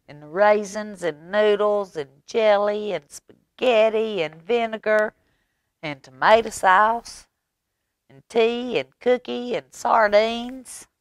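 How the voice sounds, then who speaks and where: A woman reading a picture book aloud at storytime for young children, animated, with chatty asides to her listeners. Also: medium pitch, clear, resonant tone, no echo.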